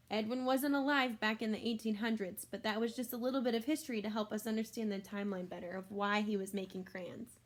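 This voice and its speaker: A woman reading a children's book aloud like a teacher, expressively, with exclamations and simple explanatory asides for young listeners. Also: a little high.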